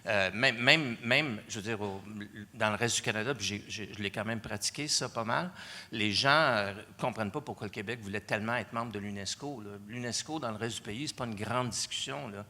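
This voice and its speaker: A man's voice: fairly low pitch, a little fast, bright, forceful tone. A middle-aged man speaking on a panel, hesitant with many restarts. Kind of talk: panel discussion.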